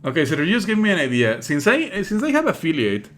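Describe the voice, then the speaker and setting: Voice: mid-range voice, huge pitch swings, quick pace. A game streamer giving live commentary, blunt, opinionated and often exasperated.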